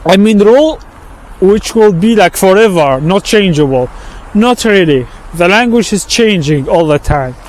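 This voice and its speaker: A fairly high-voiced man with an Arabic accent, Arabic being his first language, talks slowly and haltingly, restarting his phrases often. He records a vlog while walking on a street, with traffic sounding behind him. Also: animated, darkish tone, low-quality recording. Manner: halting, many restarts and repeated words. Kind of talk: walking-and-talking vlog monologue. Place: outdoors on a street with passing traffic.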